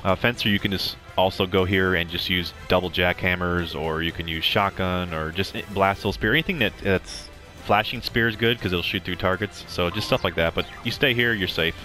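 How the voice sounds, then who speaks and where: A man's voice: low voice, fairly even intonation, brisk, few pauses, bright, forceful tone. A young man giving casual, rambling commentary over a game walkthrough, with plenty of ums and restarts. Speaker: gameplay commentator. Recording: game music playing under the voice. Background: game music.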